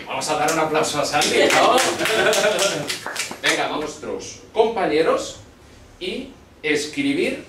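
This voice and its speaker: A man in his thirties from Spain, teaching a language class with slow, clear instructions in a small classroom. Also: noisy background. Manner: slow and deliberate, lively questioning pitch. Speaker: Spanish teacher. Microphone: far camera. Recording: echoey classroom from far off.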